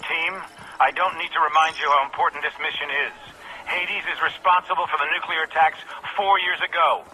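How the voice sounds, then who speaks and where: Bossy army team leader in a shooter game, high forceful voice, bit of noise. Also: male voice, fairly even intonation, brisk, low-quality recording. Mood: stern, determined. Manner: commanding, terse, urgent. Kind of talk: military shooter game dialogue.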